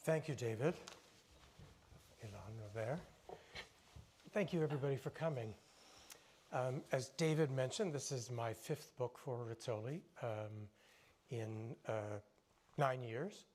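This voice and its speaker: A man's voice: mid-range voice, measured pace, long pauses, husky. A man with an ordinary mid-range voice hosts a panel on stage. He speaks easily and conversationally, steering the talk with questions. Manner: warm conversational, asks questions. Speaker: moderator and author. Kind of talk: panel discussion.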